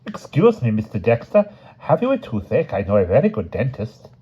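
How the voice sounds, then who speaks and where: A man's voice: mid-range voice, huge pitch swings, fairly quick, husky, muffled recording, voice pushed up. A man doing a gushing, apologetic young official. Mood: eager. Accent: put-on Austrian. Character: fussy eager young male cultural officer.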